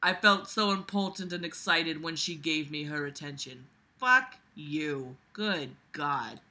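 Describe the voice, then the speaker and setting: A woman's voice: sits low, animated, measured pace, sharp tone. A woman reading a novel aloud and breaking in with exasperated, sarcastic, foul-mouthed commentary. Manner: exasperated, sarcastic, swearing freely. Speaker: book reader and commentator.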